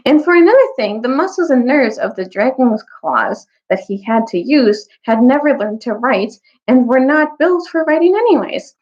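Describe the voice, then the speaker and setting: Higher-voiced woman reading a storybook aloud. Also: expressive, moderate pace, hardly any pauses, darkish tone. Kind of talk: expressive read-aloud of a children's fantasy novel. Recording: dull, lo-fi audio.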